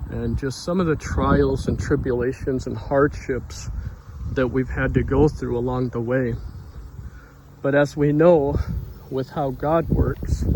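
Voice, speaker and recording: A soft-spoken man giving an informal devotional talk outdoors near a street, in a quiet, unhurried manner. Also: medium pitch, noisy background. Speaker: devotional vlogger. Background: passing cars.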